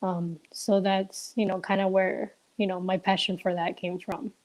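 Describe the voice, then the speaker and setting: A woman's voice: medium pitch, little pitch movement, normal speed, a little mellow, poor audio. A young woman from Ecuador, a business co-owner, answering interview questions casually and hesitantly, with many fillers and restarts, over a remote call.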